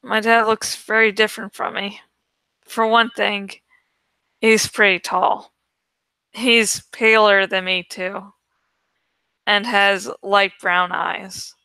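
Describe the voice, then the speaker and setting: A woman reading a first-person novel aloud in an expressive, dramatic way. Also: medium pitch, slow pace, pauses often, clean tone, no room sound. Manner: expressive, acts out the story. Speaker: audiobook reader.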